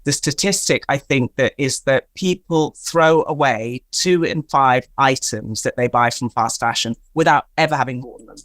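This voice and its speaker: A woman's voice: very deep voice, normal speed, hardly any pauses, no room sound. A woman from Tanzania, a guest in a conversational interview, talking emphatically and explaining with examples. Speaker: guest, fashion stylist.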